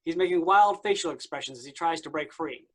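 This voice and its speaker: A man with a slightly high voice narrates a play script. He reads short stage directions for a staged reading. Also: brisk.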